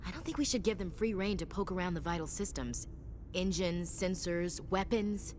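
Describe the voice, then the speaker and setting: A somewhat low female voice as a space navy chief, performed by an actress. She is earnest, wary and respectful in a plain-spoken game-dialogue way, with faint rumble. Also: lively intonation, moderate pace, a little mellow, lightly acted. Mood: concerned.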